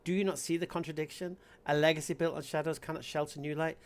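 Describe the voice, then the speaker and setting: A man's voice: fairly high pitch, little pitch movement, fast, lightly acted. A man voicing a proud, wounded pregnant wife in a text roleplay game, speaking in earnest, formal, poetic phrases. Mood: solemn, hurt.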